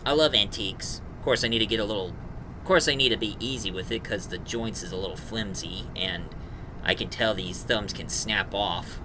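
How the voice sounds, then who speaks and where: A man giving a casual, rambling review in a halting, enthusiastic fan manner. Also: on the low side, background noise. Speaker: reviewer, host.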